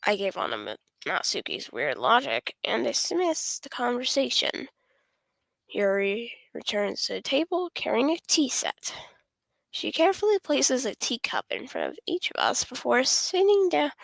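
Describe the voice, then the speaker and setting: A woman's voice: fairly high pitch, huge pitch swings, unhurried, gravelly, no echo, poor audio. A woman streamer reading a visual novel aloud, often stumbling over the words and correcting herself.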